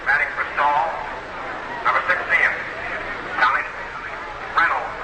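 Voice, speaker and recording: A man's voice: a little high, steady tone, measured pace. A man making formal batter announcements over a stadium public address system, caught on an old radio broadcast. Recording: very noisy old radio, loud crowd.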